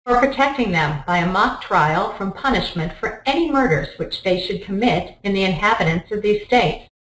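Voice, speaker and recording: A woman's voice: mid-range voice, expressive, moderate pace, a bit bright, far from the mic, slight background noise. A woman with a mid-range voice reads a historical document formally. She sounds away from the microphone in an echoey room. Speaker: volunteer reader.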